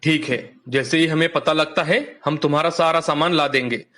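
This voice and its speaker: Policeman voiced by a man, sharp forceful tone, slightly high, official, matter-of-fact, cartoon story. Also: fairly even intonation, moderate pace, close to an everyday voice. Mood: businesslike. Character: brisk, official village policeman. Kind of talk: children's animated story acting.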